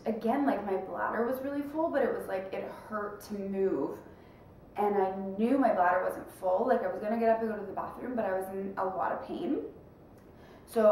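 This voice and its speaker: A woman's voice: mid-range voice, darkish tone, a little hiss or hum. Chatty young woman in an echoey room. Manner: rambling, chatty, lots of fillers. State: stuffed-up nose. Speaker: vlogger. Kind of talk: casual chat to camera. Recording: echoey room, mic at a distance.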